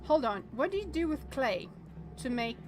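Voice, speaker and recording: A woman game streamer reading a visual novel aloud with casual commentary, often hesitant and thinking out loud in fragments. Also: sits high, sing-song, moderate pace, background noise.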